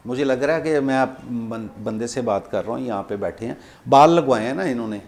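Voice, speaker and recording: Man, Pakistani accent, medium pitch, prank caller, rambling, repetitive, confusing improvised banter. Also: brisk, gravelly, darkish tone, a little hiss or hum. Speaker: prank caller hosting a comedy show.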